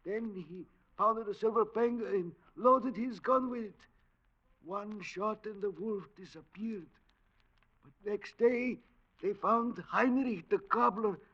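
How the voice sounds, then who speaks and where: An actor playing a superstitious old game warden telling folk tales in an old-time radio drama. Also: male voice, sits high, a bit bright, full character voice. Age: older. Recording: dull old radio recording.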